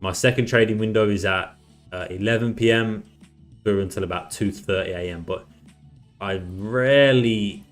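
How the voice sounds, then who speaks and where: A man's voice: fairly low pitch, moderate pace, faint music behind. Chatty man with a tired voice. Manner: chatty, reads out chat messages, many restarts. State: losing his voice. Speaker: livestream trader. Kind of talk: casual live stream commentary.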